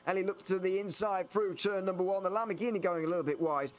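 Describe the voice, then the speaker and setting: A man's voice: sits high, steady tone, fast. A man co-commentating a sim race live, hesitant with many fillers before he picks up the call.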